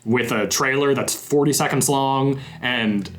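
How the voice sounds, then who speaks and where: A man's voice: medium pitch, measured pace, fairly bright tone. Rambling young man podcast host. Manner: rambling, friendly, self-deprecating asides. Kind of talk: casual podcast interview.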